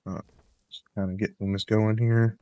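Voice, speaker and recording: Low-voiced man, slightly nasal, dry sound. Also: unhurried, smooth, no echo, dull audio. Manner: relaxed, rambling, gives tips while playing. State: coming down with a cold. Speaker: gameplay commentator. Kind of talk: casual let's-play commentary.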